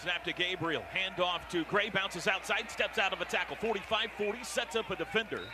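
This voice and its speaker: An American man calling football play-by-play on the radio in clipped phrases, getting excitable on big plays. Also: high voice, fairly quick. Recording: very noisy stadium radio broadcast. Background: loud stadium ambience.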